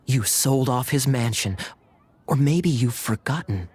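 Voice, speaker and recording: A sly, teasing young knight. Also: male voice, medium pitch, expressive, a little slow, darkish tone, husky. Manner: mocking, sarcastic. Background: game music.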